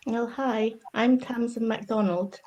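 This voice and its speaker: A woman who grew up in Scotland, a guest on a podcast, calmly telling a personal story. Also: on the high side, unhurried, poor audio. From Scotland, from about age ten. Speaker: guest, anti-racism consultant.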